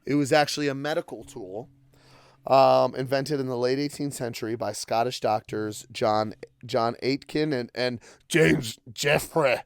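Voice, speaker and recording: A man's voice: medium pitch, a little slow, vocal fry, smooth, no room sound, clean studio sound. A young man co-hosting a casual podcast, joking crudely and laughing as he tells stories and facts. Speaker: podcast co-host. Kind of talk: casual chat.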